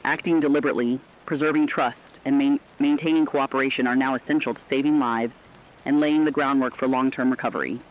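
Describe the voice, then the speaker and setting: A man's voice: medium pitch, steady tone, lightly acted. Dull lo-fi radio, man as solemn news anchor. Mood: solemn, earnest. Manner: measured, earnest, formal. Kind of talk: scripted radio news bulletin.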